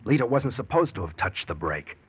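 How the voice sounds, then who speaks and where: Husky, scheming husband in radio noir. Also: male voice, on the low side, big pitch swings, fairly quick, full character voice. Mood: tense confession. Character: scheming guilty husband feigning tender concern, secretly nervous. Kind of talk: old-time radio drama acting and confession narration. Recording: muffled vintage radio recording.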